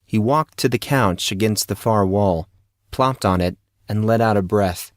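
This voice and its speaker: Male narrator for audio fiction: deep register, scripted storytelling, very dry sound. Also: a little slow, no room sound.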